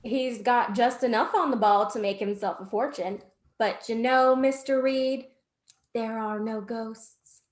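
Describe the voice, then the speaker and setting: A woman's voice: a little high, steady tone, a little slow, reverberant, natural voice. A woman playing a skeptical newspaper secretary in an old-time radio drama, with a dry, wry delivery.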